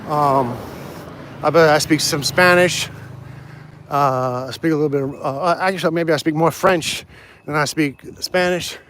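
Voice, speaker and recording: A man's voice: a little high, moderate pace, a bit bright, a little hiss or hum. A man livestreaming on a walk outdoors, rambling casually with many restarts and answering viewer comments. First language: English. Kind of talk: casual live stream chat.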